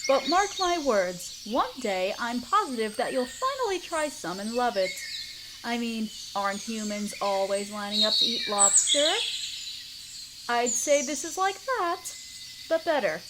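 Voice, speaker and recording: A woman playing a motherly spider queen in a roleplay audio, speaking tenderly and patiently with gentle teasing and formal wording. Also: fairly high pitch, big pitch swings, a little slow, very noisy. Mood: affectionate teasing. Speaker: mother figure teaching a language. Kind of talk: roleplay audio, softly acted monologue.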